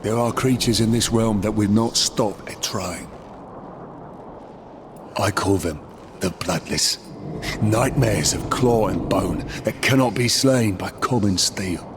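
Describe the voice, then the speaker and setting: A man's voice: fairly low pitch, slow, background noise. A male actor playing a proud, embittered undead warrior in a fantasy game, speaking with grave, declamatory weight. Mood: ominous. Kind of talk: scripted fantasy game acting.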